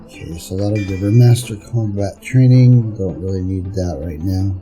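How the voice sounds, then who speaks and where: A man's voice: low voice, normal speed, dark tone. A man giving casual gameplay commentary, rambling and thinking aloud as he plays. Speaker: gameplay streamer and reviewer. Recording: game music behind the voice. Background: video game music.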